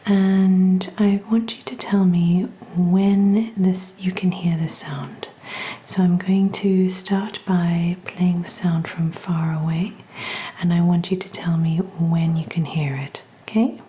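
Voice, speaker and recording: Casting: woman as calm ASMR ear-exam clinician, whispery and mellow, very unhurried, gentle and reassuring. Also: lowish voice. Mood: gentle, soothing. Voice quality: breathy, whispery. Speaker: ASMR performer. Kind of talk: soft-spoken ASMR roleplay.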